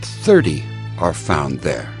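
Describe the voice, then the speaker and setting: A man's voice: rumbling low, huge pitch swings, slow pace, faint music behind, exaggerated voice. A man playing a humble old biblical patriarch, beseeching and deferential in a dramatized scripture reading. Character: humble old patriarch Abraham, reverently bargaining with God.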